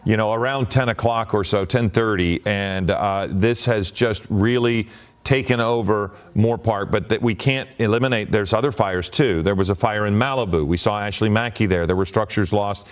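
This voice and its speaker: A man anchoring live breaking news coverage, ad-libbing in a halting way with repeated words. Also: lowish voice, clear, resonant tone.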